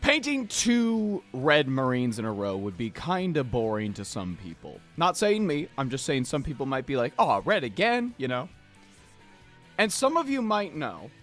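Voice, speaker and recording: Lively, slightly hoarse man streaming. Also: sits high. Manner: playful, chatty, addresses chat directly. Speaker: streamer painting miniatures. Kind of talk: casual livestream chat. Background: faint background music.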